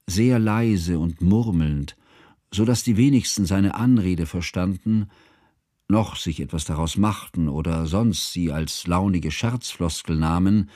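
Mellow, sober scholarly narrator. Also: male voice, sits low, dry recording, clean studio sound. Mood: grave, moved. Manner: measured, formal, precise. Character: devoted, sober scholarly friend narrating with grave concern. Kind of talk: literary radio drama narration.

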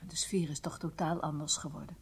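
A woman's voice: sits low, fairly even intonation, brisk, darkish tone, breathy edge, heavily acted. An actress playing a disheartened, loyal female intelligence worker in a radio drama, wistful and emotional in an informal way. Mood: dejected.

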